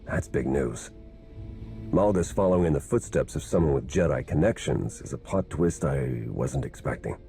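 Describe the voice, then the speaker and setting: A man's voice: very deep voice, lively intonation, normal speed, gravelly, mellow, faint music behind, heavily acted. A man voicing a wry, plain-spoken agent in a game, talking informally. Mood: wry, intrigued. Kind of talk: video game cutscene acting.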